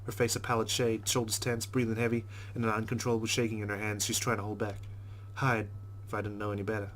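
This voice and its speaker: A man with a fairly low voice reads visual novel narration at a brisk clip. He is wry and conversational, like a host playing through a game, and there is a light hum and noise behind him. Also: flat delivery, a bit bright, rough recording. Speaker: let's play host. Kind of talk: reading game narration aloud with casual asides.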